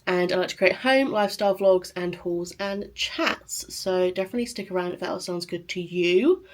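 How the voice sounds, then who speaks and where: A woman with a sore throat and a scratchy, quiet voice, chatting casually to camera in a rambling vlog. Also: on the low side, moderate pace. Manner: chatty, rambling, lots of fillers. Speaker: lifestyle vlogger.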